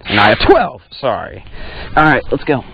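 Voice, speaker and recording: A young man in his twenties, casually teasing and keeping score out loud while fishing from a small boat on a lake. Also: mid-range voice, wildly swooping pitch, moderate pace, gravelly, highs cut off, a little hiss or hum. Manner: teasing, keeps score aloud. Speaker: fishing vlogger. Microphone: action camera on a chest harness.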